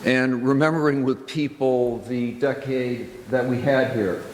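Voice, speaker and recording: An older man speaking as a minister in a reflective church address, with deliberate, halting phrasing and pauses for emphasis. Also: mid-range voice, fairly even intonation. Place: large indoor church sanctuary. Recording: reverberant church hall with background noise.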